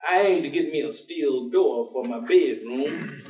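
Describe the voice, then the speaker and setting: An actor playing a warm, streetwise American ex-convict turned preacher in a staged reading, with a folksy, slangy, teasing way of telling things. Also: male voice, fairly high pitch, a little slow, lightly acted. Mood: amused, boastful. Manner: folksy slangy drawling storyteller, teasing, chuckling. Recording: echoey theatre stage, distant mic.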